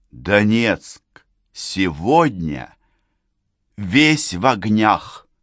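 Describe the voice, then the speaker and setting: A man reciting verse in a solemn, declamatory way, with sweeping rises and falls in pitch and loudness. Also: dry recording, clean studio sound. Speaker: poetry reader.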